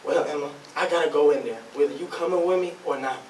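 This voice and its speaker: A man's voice: fairly high pitch, fast, a little mellow, slight background noise, lightly acted. A man performing as an eager young dancer coaxes and insists in a stage drama. His voice comes from a little way off in an echoey room. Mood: insistent, impatient. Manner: coaxing, insistent. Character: eager, insistent young cakewalking husband or sweetheart, John. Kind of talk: stage readers theatre acting. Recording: echoey room, distant mic.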